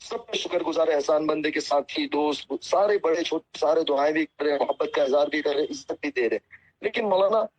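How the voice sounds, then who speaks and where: A man's voice: a little high, quick pace, no room sound. A man on a phone call, speaking humbly and earnestly, apologizing and asking at length for patience. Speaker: cleric answering a phone call. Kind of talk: phone conversation. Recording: muffled lo-fi phone call recording.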